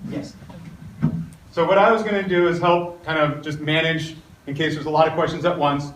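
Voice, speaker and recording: A man running a community meeting, his loud voice a little high. He talks in an upbeat way with frequent fillers. The recording is roomy and picked up from across the room. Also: fairly even intonation, normal speed. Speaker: meeting moderator. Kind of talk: public meeting emcee. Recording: roomy, distant, with faint noise.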